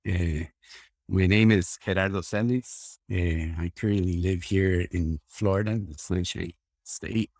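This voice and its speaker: A man's voice: low voice, steady tone, unhurried, a little mellow, no room sound, studio quality. A man from Rica, a guest scientist talking casually in an interview, hesitant with many fillers and restarts. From Costa Rica. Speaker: guest plant ecologist.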